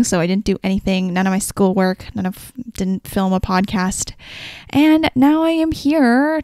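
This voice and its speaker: A young woman hosting her own podcast, chatting casually and rambling a little, her voice slightly raspy from a sore throat. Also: mid-range voice, darkish tone, no room sound, studio quality. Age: young adult. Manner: chatty and rambling, frequent "like" and "kinda". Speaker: podcast host. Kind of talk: casual solo podcast chat.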